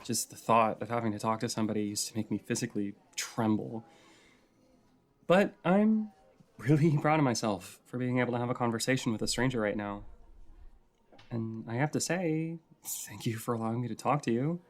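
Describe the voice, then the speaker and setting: A man playing a shy, sweet writer in an intimate audio roleplay, chatting in a hesitant, rambling, softly flirty way. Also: medium pitch, lively intonation, moderate pace, close to an everyday voice. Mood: nervous, earnest. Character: shy, rambly, sweet regular writing in a coffee shop.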